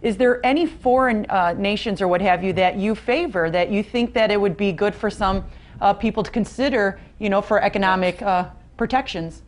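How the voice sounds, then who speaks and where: A woman interviewer with a mid-range voice who puts her questions haltingly, pausing on fillers as she searches for words. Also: medium pitch.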